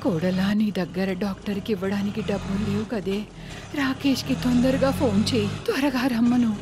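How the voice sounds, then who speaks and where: A woman's voice: medium pitch, steady tone, normal speed, music in the background, close to an everyday voice. Woman acting grumbling elderly mother-in-law, heavy reverb. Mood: anxious. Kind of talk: cartoon moral story voice acting.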